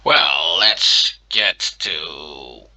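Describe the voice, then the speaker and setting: A man with a Dutch accent, a native Dutch speaker, casually commentating on a game he plays, relaxed and hesitant with fillers. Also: extremely low pitch, very slow. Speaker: let's play commentator.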